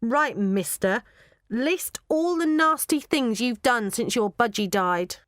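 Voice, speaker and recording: A woman puts on a young storybook girl, pitched high and light. She is clever and bossy, giving orders politely but firmly, in a dry recording. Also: expressive, slow pace, a bit bright, no room sound. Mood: commanding.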